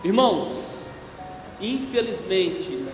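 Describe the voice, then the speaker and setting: A hoarse man preaching a church sermon, slow and emphatic, growing more forceful as he goes. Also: sits high, big pitch swings, music in the background. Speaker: evangelist preacher. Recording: echoey church with long reverb.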